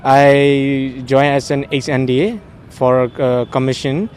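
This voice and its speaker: A man's voice: mid-range voice, steady tone, slow pace, darkish tone, a little hiss or hum. A man in his twenties with a Burmese accent, a military cadet being interviewed. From Myanmar. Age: 20s.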